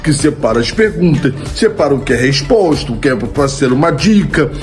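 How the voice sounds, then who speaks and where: Chatty Brazilian man with a high voice. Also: animated, normal speed, faint music behind. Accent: Brazilian Portuguese. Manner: chatty, laughs at his own jokes, keeps adding "né?". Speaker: comedy content creator answering viewer questions. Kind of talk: casual Q&A monologue. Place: home room, indoors. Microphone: old phone camera, close framing.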